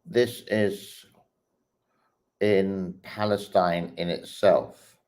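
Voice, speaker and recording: A man hosting his channel, talking through social media clips in a slow, hesitant way with many pauses and fillers. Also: sits low, steady tone, a little mellow. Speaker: host reacting to online clips. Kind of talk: casual commentary while scrolling a feed.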